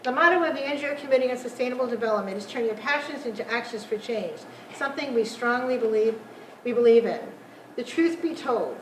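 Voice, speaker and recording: A woman delivers a formal prepared speech at a conference, reading steadily from her text. Her voice is somewhat high. She sounds distant and echoey, with faint background noise. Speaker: panel speaker. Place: large conference room.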